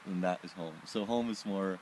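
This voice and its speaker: An Austrian man who grew up in several countries, answering personal questions reflectively in short phrases with pauses. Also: deep voice, a little mellow, slight background noise, poor audio. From born in Oman, Austrian, grew up in several countries. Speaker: interviewee.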